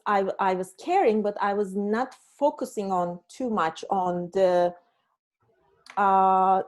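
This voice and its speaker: A woman who grew up in Turkey hosts a relaxed talk, speaking slowly. She pauses often and restarts her sentences as she searches for words. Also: mid-range voice. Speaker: host. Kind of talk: casual conversation.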